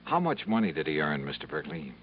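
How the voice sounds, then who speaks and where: A man's voice: very deep voice, very animated, quick pace, a little hiss or hum. A man playing a wry, clipped, skeptical insurance investigator in a 1950s radio detective drama. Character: wry, hardboiled insurance investigator narrating his case. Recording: dull vintage radio recording.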